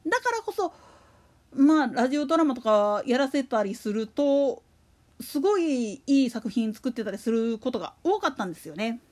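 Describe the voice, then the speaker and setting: A woman's voice: high voice, very animated, normal speed, darkish tone. A woman giving casual solo commentary as a podcast host, rambling and opinionated, with emphatic repetition.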